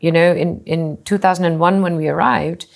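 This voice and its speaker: A middle-aged woman from Singapore, a company founder answering interview questions thoughtfully, often pausing with "you know" and restarting phrases. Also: fairly low pitch, steady tone, creaky. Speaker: hotel group co-founder and CEO.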